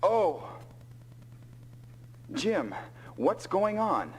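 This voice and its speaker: A man's voice: high voice, big pitch swings, extremely slow, a little hiss or hum, heavily acted, poor audio. An actor playing a big, easygoing male soldier pilot in an anime-style English video game dub.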